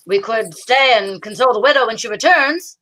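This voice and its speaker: A woman's voice: a little high, brisk, pushed and bright, rough recording. A woman playing a fussy, droll, superstitious male sidekick in a radio mystery, arch and theatrical. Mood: droll, dismayed. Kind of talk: old-time radio comedy-mystery acting.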